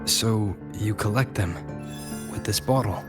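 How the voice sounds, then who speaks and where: An actor playing a steady, determined young male hero in a video game, calm and decisive. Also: lowish voice, unhurried, over loud music, lightly acted.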